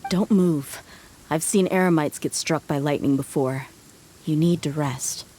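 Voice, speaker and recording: A woman's voice: low-pitched, unhurried, dark tone, a little hiss or hum. A woman playing a tough, plainspoken young female mercenary with a casual, assured manner in a video game. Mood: firm, urgent. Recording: faint game ambience behind.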